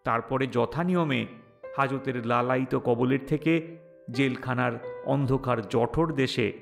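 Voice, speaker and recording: A man narrating a first-person audio story in a wry, theatrical, expressive way. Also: medium pitch, a little slow, vocal fry, faint music behind. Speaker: story narrator voicing the protagonist.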